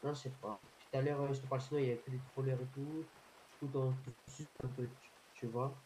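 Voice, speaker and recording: A man's voice: mid-range voice, steady tone, a little fast, a little mellow, poor audio. A man chatting in blunt slang as a player in an online role-play game. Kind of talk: casual slangy game voice chat. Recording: voice chat with faint background noise.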